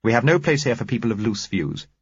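A man voicing a stern, self-righteous woman community leader in an audiobook, with a sharp, clipped, sanctimonious manner. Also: on the low side, expressive, fast, slightly rough, no room sound, muffled recording, pitched above the performer's own voice. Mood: sharp, indignant.

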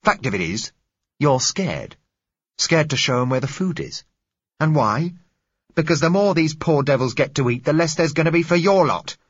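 A fiery street agitator of about thirty, as a male narrator puts him on, loud and raised well above the reader's own low voice. His speech mixes the rough and the educated, harsh and emphatic. The audiobook recording is dry and a little dull. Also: sing-song, normal speed, dry recording. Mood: contemptuous. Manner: rough yet educated, shouting passionately.

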